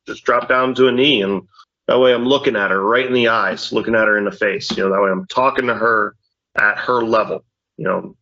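Loud middle-aged dad rambling casually, lowish voice, kinda dull lo-fi sound. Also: male voice, creaky. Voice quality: loud, mildly deep voice. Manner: rambling, says "you know what I mean" often. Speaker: podcast co-host, father. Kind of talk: casual chat. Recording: dull lo-fi audio.

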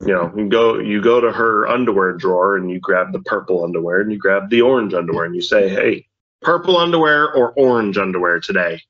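A middle-aged father on a parenting podcast, talking loudly in a rambling, casual way with a mildly deep voice, often saying "you know what I mean.". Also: fairly quick. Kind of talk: casual chat. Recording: dull lo-fi audio.

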